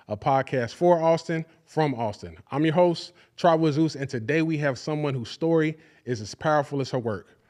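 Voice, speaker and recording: A man's voice: medium pitch, a little mellow. Laid-back male podcast host. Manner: laid-back, affirming with short "gotcha" and "mm-hmm". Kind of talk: casual interview.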